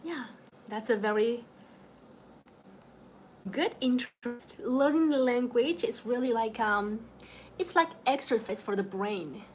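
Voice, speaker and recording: A woman's voice: fairly high pitch, lively intonation, measured pace, long pauses, a little hiss or hum. A young woman from China with a Chinese accent, chatting casually with viewers on a home livestream, laughing often and greeting people by name. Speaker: livestream host. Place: home in the evening.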